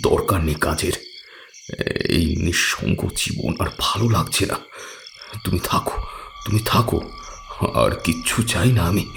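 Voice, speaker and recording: An actor playing an aging, lonely and commanding village patriarch in a horror audio drama. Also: male voice, sits low, lively intonation, unhurried, gravelly, long pauses, noisy background, exaggerated voice. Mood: low, pleading. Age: older.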